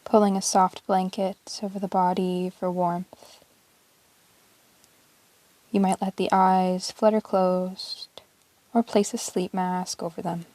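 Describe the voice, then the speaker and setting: A woman leads a guided yoga nidra meditation at a very unhurried pace. Her voice is soft-edged and mellow, staying on an even, level line. She sounds calm and soothing, leaving long pauses in a close, dry recording. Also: fairly low pitch, dry recording. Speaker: yoga teacher guiding a meditation.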